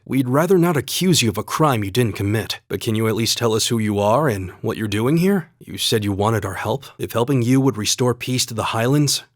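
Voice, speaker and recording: Casting: young male student fighter for a video game, calm and courteous, mid-pitched with lively intonation, dry close sound. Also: no echo. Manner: measured, polite, earnest. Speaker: video game character voice actor.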